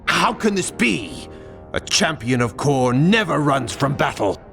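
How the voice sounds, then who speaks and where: A man playing a serious advisor in a dark fantasy video game, earnest and dramatic. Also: fairly high pitch, big pitch swings, measured pace, gravelly, faint music behind, full character voice. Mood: troubled.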